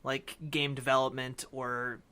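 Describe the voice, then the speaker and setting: A man's voice: mid-range voice, monotone, unhurried, bright, forceful tone, clean tone. A man co-hosting a podcast, discussing film casually and thinking aloud as he explains. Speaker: podcast co-host.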